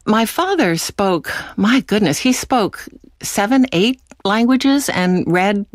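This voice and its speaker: A woman in her sixties from the United States, answering interview questions in a thoughtful, measured way. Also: mid-range voice, expressive, no room sound, clean studio sound. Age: 60s. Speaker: interviewee, author.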